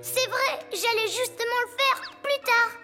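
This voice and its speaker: An actor voicing an eager six-year-old boy superhero in a French children's cartoon, playful, forgetful and excitable, with music behind. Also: very high-pitched, unhurried. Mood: casual, excusing. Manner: exclamatory, excitable.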